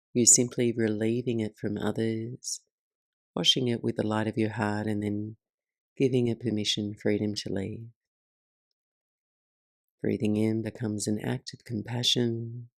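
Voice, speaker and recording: A woman leading a guided meditation as the teacher, speaking in a soothing, measured way with soft pauses. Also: extremely low pitch, no echo.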